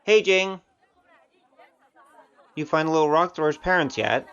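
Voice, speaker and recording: A man's voice: on the high side, unhurried, a bit bright, highs cut off, some chatter behind. A man playing a frank, easygoing wanderer in an audio drama, casual and plain-spoken. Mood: jovial.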